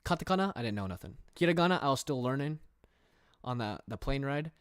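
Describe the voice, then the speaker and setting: A man's voice: mid-range voice, animated, fast, clear, resonant tone, no room sound, studio quality. A young man from England chatting casually on a livestream from his room, rambling with fillers and reading viewer questions aloud. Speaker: streamer.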